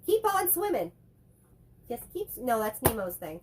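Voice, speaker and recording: A woman's voice: on the high side, sing-song, breathy edge. A woman with a head cold co-hosting a casual chat about movies, rambling and joking as she talks. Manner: rambling, chatty, quick to joke. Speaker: co-host.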